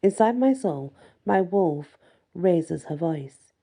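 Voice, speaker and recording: A woman reading a first-person fantasy story as soft ASMR audiobook narration. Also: on the low side, expressive, slow. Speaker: story reader.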